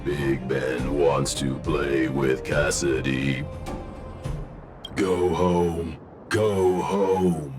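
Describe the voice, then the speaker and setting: Very deep, plodding childlike robot. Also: male voice, fairly even intonation, music in the background, exaggerated voice. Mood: homesick, simple. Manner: halting, simple third-person phrases. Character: simple, childlike, homesick robot. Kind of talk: video game voice acting.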